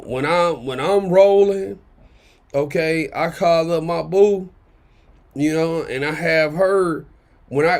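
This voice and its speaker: A man with a raspy baritone ranting in casual commentary, blunt and profane, driving points home with repeated "okay?". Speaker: commentary channel host.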